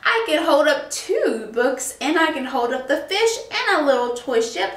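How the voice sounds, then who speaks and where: A woman's voice: high voice, sing-song, normal speed, a bit bright. Woman doing a gleeful show-off cat. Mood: boastful. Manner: sing-song rhyming, gleeful. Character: playful boastful male cat, showing off tricks. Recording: roomy echo.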